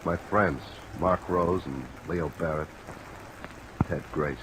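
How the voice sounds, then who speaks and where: Deep-voiced nervous crook, noisy rain. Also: male voice, slow. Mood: defeated confession. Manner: evasive, blustering, sullen. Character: nervous, defiant male bartender cornered into confessing. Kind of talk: old-time radio crime drama acting. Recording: noisy vintage radio recording, rain sounds.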